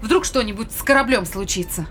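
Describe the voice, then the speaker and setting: A woman's voice: medium pitch, a little fast, a bit bright, slight background noise, lightly acted. An actress playing an anxious, questioning woman aboard a spaceship in an audio drama. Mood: alarmed, doubtful. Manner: questioning, worried. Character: anxious female spaceship crewmate questioning risky plans.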